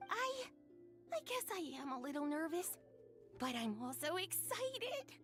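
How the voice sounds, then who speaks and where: A woman's voice: very high voice, big pitch swings, measured pace, fairly bright tone, faint music behind, full character voice. A woman voicing a shy, hopeful young dragon in a game, with a sweet, childlike manner.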